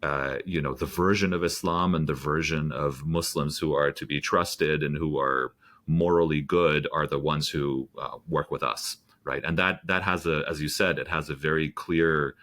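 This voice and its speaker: A man's voice: rumbling low, fairly even intonation, moderate pace, fairly bright tone. A man speaking as a guest in an interview, thoughtful and hedging, with frequent fillers and restarts. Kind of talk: conversational interview.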